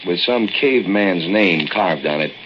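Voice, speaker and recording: A man's voice: sits low, expressive, measured pace. A man with an American accent playing a dry, wisecracking, watchful husband in a 1940s radio drama. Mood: dry, wry. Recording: noisy, muffled old radio recording.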